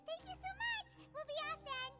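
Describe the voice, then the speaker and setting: A woman's voice: very high voice, animated, normal speed, bright, forceful tone, faint music behind, exaggerated voice. A woman voicing a small, perky, childlike fairy companion in a video game, chatty and exclamatory. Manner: refers to self in third person, exclamatory.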